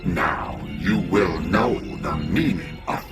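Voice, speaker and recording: A man's voice: low voice, slow pace. An actor playing a boastful, menacing evil ghost that has possessed a man's body, grandiose and threatening, in a video game. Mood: menacing, gloating. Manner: grandiose, threatening, melodramatic villain. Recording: loud music behind the voice.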